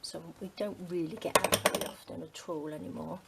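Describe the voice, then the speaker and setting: A middle-aged woman from the UK, chatting casually to her craft channel, rambling with many ums and dropping to a soft near-whisper as she works. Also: deep voice, steady tone, brisk, vocal fry, heavy background noise. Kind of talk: casual chat while crafting.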